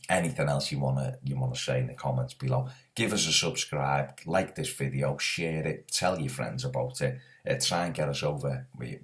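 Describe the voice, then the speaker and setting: A man hosting a casual soccer preview, hoarse from a cold, chatty with lots of fillers. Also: a bass voice. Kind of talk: casual solo sports preview.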